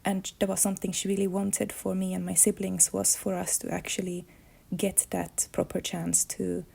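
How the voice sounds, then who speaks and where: Gravelly, even-toned Finnish-woman. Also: medium pitch, moderate pace. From Finland. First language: Swedish. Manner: thoughtful, searching for words. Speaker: illustrator and comics artist. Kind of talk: reflective life-story monologue.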